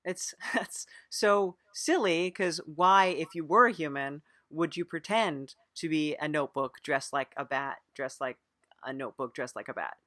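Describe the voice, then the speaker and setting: A podcast interviewer improvising a mock interview in a deadpan, sympathetic and hesitant manner. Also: mid-range voice, a little slow, no room sound, studio quality.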